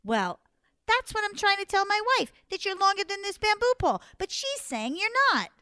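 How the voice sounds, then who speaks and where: A woman's voice: medium pitch, sing-song, a little slow, a bit bright, dry recording, studio quality. A woman storyteller voicing a cocky, eager male trickster spider with a brash, emphatic manner. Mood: exasperated. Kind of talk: oral storytelling.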